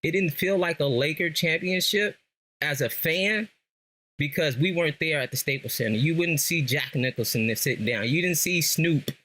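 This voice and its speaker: A man's voice: on the high side, normal speed, bright, forceful tone. A man from Los Angeles with an American accent, talking casually as a sports fan guest on a podcast, telling stories in slang and repeating phrases for emphasis. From Los Angeles, Southern California. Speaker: guest, lifelong Lakers fan.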